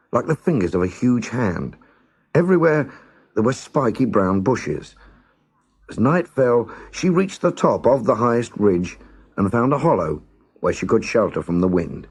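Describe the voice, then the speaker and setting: A man narrating a scripted children's story as a storyteller, expressive, measured and dramatic. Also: fairly low pitch, breathy edge.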